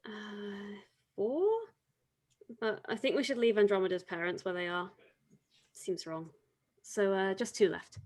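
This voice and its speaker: A woman's voice: medium pitch, steady tone, a little slow, pauses often, darkish tone, lightly acted. A woman playing a devout, teasing island woman in an audio drama table read, speaking in a dry, reflective way. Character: wry, devout island woman, teasing grave-robbing companion.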